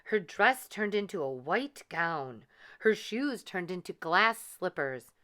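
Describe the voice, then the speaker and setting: A woman narrating a children's storybook in a deliberate, expressive way. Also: on the low side. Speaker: children's read-aloud host and storyteller.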